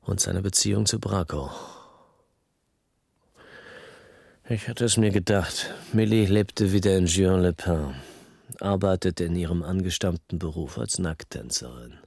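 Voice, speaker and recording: An actor playing a restless small-time crook who narrates a noir radio drama in terse phrases with a husky voice. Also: male voice, sits low, normal speed, darkish tone, no room sound, clean studio sound, exaggerated voice. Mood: brooding. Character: hard-boiled small-time male crook recounting his past, restless. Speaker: narrator and lead.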